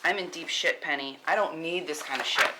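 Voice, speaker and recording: A woman's voice: low-pitched, brisk, natural voice. A woman playing an anxious, angry, sweary working mother at a film script table read, in a noisy live recording. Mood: furious, anxious. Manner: tense, exasperated, sweary. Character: frantic, foul-mouthed working mother and wife, angry, anxious.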